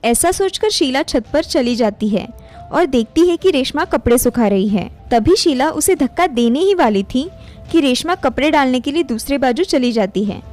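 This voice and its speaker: A woman's voice: high-pitched, animated, normal speed, darkish tone, soft background music. A woman reading scripted story narration as the narrator of a moral tale.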